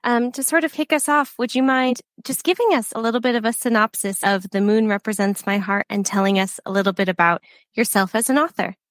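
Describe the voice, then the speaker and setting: A woman's voice: a little high, no room sound. A young American woman from the United States hosting a podcast interview, bubbly and effusive, gushing over books into a close mic. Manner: bubbly, effusive, quick to gush. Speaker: podcast host.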